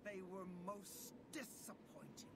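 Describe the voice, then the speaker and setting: A woman's voice: mid-range voice, slow pace, background noise, natural voice. An actress playing a cold, haughty female rogue mage threatening a victim in a fantasy video game. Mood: cold menace. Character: menacing, scornful female rogue mage robber.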